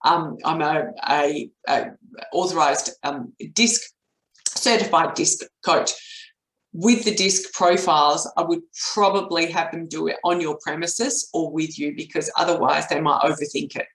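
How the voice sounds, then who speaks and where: Croaky middle-aged Australian woman in an echoey room. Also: low-pitched, fairly even intonation, moderate pace. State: croaky voice from a cold. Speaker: business coach presenting a webinar. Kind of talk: explanatory webinar talk with step-by-step recap. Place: indoor home office, at a desk. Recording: roomy, echoey webcam audio.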